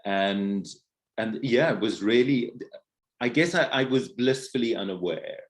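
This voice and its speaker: A man's voice: fairly low pitch, animated, measured pace. A middle-aged man from Africa, a podcast guest talking reflectively about his life, with many fillers and restarts. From South Africa. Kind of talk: casual interview conversation.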